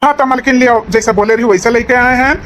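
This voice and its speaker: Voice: steady tone, fast talker, a bit bright, lightly acted. A performer voicing a practical, patient village husband in an Awadhi comedy cartoon, plain-spoken and questioning. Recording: faint rumble and background noise.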